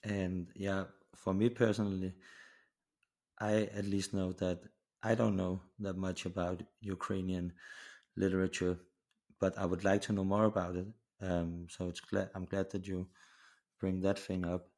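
A man in his twenties with a Danish accent, hosting a casual conversational interview in a hesitant, halting way. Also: low voice, flat delivery, darkish tone. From Denmark. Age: 20s. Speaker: podcast host.

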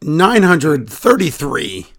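A man hosting a casual wrestling podcast, hoarse and raspy from laryngitis, his voice sometimes dropping to a whisper. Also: on the high side, sing-song, takes it slowly. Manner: slips into whispers. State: hoarse from laryngitis, voice coming back. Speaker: podcast host.

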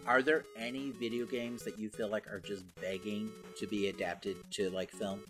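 A man's voice: fairly low pitch, little pitch movement, normal speed, fairly bright tone, soft background music. A man co-hosting a casual pop culture podcast, talking conversationally with lots of "like" and "you know". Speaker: podcast co-host.

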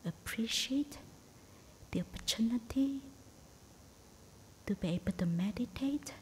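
A woman meditation teacher guiding a session in a hushed, breathy, near-whispered voice, in short phrases with gentle pauses. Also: lively intonation. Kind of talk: guided meditation. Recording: faint rumbly background noise.

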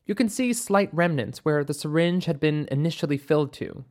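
A narrator voicing a composed, observant woman doctor with measured, precise speech and a wryly playful streak in an audiobook story. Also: low voice, a little mellow, natural voice. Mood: clinical, assured.

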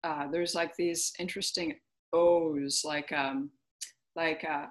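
A woman's voice: low voice, fairly even intonation, slow. Chatty woman hosting a podcast interview. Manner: laughs often, eager and chatty. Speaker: podcast host. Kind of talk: casual interview chat.

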